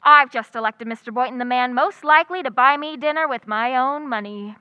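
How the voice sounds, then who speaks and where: A woman's voice: high-pitched, fairly quick, muffled recording, natural voice. A woman playing a wry, lovelorn, wisecracking schoolteacher in an old-time radio comedy. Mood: sardonic. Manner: dry wisecracking delivery. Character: wry, quick-witted single schoolteacher woman, lovelorn and sardonic. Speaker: lead actress.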